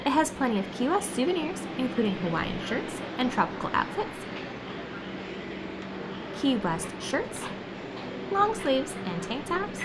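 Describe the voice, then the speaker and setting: Lively high-voiced woman vlogging a town walk. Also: measured pace, pauses often, heavy background noise. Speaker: travel vlogger. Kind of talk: narrating a travel vlog. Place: outdoors and in shops, walking. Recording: loud street and shop ambience.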